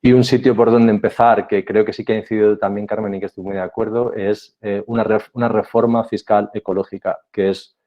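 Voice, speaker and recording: A man giving a conference talk as a panel speaker, measured and explanatory, with some hesitations. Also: fairly low pitch, level tone, muffled recording.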